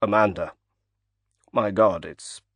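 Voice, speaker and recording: A man's voice: lowish voice, takes it slowly, fairly bright tone, slightly rough, no room sound, studio quality, heavily acted. A man voicing a heavy, proud older male financier whose speech moves between choked grief and indignation. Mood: grieving.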